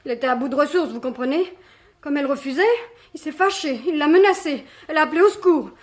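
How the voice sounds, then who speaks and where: An actress as an anxious high-voiced young waitress. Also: female voice, fast, close to an everyday voice. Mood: agitated, insistent. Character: anxious young café waitress defending her accused fiancé. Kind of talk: French radio mystery play acting.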